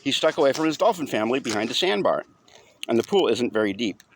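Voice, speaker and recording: A man's voice: medium pitch, big pitch swings, quick pace. A man narrating a first-person audiobook story in an informal, wry, conversational way.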